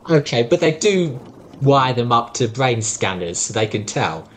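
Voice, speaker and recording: A podcast co-host who lectures with a sarcastic edge, the pitch swooping in a lively way. Faint noise sits behind the voice. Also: unhurried. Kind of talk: casual debate.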